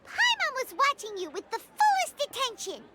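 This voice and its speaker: Very high, squeaky fairy companion. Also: female voice, wildly swooping pitch, moderate pace, very noisy, heavily acted. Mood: insistent. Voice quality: squeaky, childlike. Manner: refers to self in third person, emotional. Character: small, excitable, childlike floating fairy companion, female. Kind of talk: animated video game voice acting. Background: background music.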